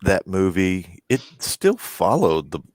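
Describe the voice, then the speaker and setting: A man's voice: deep voice, big pitch swings, slow, a little mellow, no echo. A man co-hosting a geek culture panel in a casual, conversational style. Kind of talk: casual chat.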